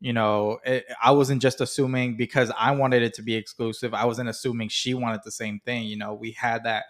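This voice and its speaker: A man's voice: medium pitch, little pitch movement, brisk. A young man with an ordinary mid-range voice giving advice on a podcast. He circles around his point and leans heavily on filler words. It sounds like a casual, sincere conversation between friends. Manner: rambling, filler-heavy "like, you know". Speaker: podcast co-host.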